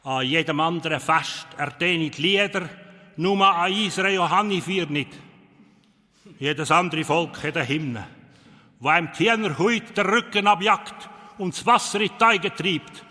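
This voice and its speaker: Ceremonial dwarf elder, high bright voice, measured proclaiming, cavernous reverb. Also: male voice. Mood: solemn. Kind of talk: children's radio play acting. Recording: long cavernous hall reverb.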